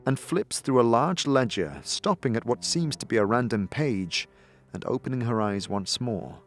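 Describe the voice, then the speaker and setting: A man's voice: mid-range voice, animated, normal speed, soft background music. A man narrating a scripted fantasy audio drama in a dramatic storytelling manner. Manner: dramatic, storytelling in second person. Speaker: narrator, game master.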